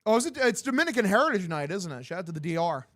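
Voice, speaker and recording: A young man in his twenties with a distinctly high voice for a male. He streams baseball commentary mixed with casual chat, in loose, slangy language with plenty of swearing and a bro-like way of addressing people. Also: big pitch swings, racing, a bit bright. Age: 20s. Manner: profane, slangy, bro-like talk. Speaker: sports streamer calling a baseball game and answering chat.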